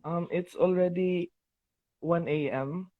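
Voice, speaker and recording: A young man with a Filipino accent joins over a video call, and his voice comes through thin and phone-like with no room sound. He talks shyly and haltingly, always polite. He is in his twenties. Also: fairly high pitch, steady tone, no room sound. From Philippines. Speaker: fan calling in; college art teacher. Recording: tinny dry video-call audio.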